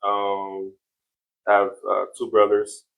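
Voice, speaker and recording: A man's voice: lowish voice, monotone, slow, creaky, fairly bright tone, rough recording. A man in his mid twenties with an American accent, chatting casually as a podcast guest about himself. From United States, born in Miami and raised in Ohio. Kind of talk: casual chat.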